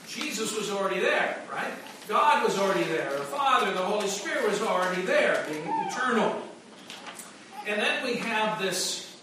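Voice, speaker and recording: Echoey distant pastor, high bright voice. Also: male voice, noisy background. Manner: conversational preaching with frequent restarts and fillers. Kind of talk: sermon. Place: church sanctuary.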